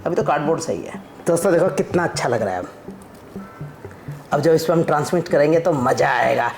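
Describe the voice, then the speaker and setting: Chatty man over clear music. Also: on the high side, expressive, a little fast. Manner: informal, chatty, explains as he works. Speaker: vlog host and father. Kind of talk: casual chat while doing a DIY project. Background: clear background music.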